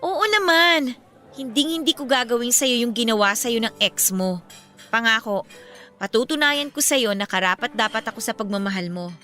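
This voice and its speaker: A woman's voice: on the high side, animated, moderate pace, sharp tone, faint music behind. An actress playing a playful, affectionate young woman in a Filipino radio romance drama, with a teasing, conversational way of talking. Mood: happy, affectionate.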